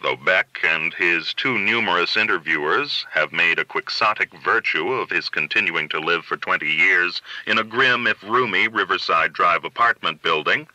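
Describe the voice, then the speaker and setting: Male audiobook narrator, slightly low, very bright and forceful, deliberate theatrical phrasing. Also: no echo, low-quality recording. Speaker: audiobook reader.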